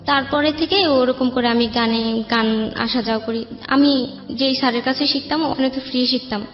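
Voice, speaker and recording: A Bangladeshi woman recounts her own life as a guest in an interview. Her voice sits a little high and she speaks colloquially. The recording is echoey and dull, with music under it. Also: fairly even intonation, normal speed. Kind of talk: personal life storytelling, conversational. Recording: echoey room, dull sound, faint music.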